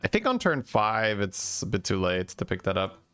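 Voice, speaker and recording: A man giving relaxed, chatty game commentary and answering chat questions, with faint music behind. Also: fairly low pitch, normal speed, clear, resonant tone. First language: Dutch. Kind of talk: casual gameplay commentary.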